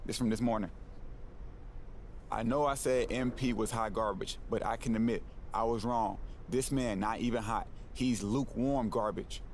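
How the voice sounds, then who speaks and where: A male actor plays a young social media influencer with a creaky, gravelly edge to his voice. He is cocky and taunting, needling in loose street slang like a video game cutscene character. Faint noise sits behind him. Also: mid-range voice, steady tone, normal speed, natural voice. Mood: mocking, dismissive.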